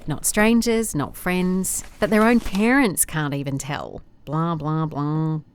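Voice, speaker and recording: Low-voiced, weary private investigator. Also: female voice, a little hiss or hum. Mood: wry, observant. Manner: dry, measured, confiding. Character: weary female private investigator dictating case notes, dry and guarded. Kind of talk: audio drama monologue as dictated notes.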